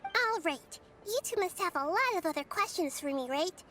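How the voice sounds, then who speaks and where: A woman voicing a gentle, wise, childlike girl deity in a video game, speaking in a thoughtful, slightly formal way. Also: very high-pitched, animated, moderate pace, slight background noise, heavily acted. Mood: composed, helpful.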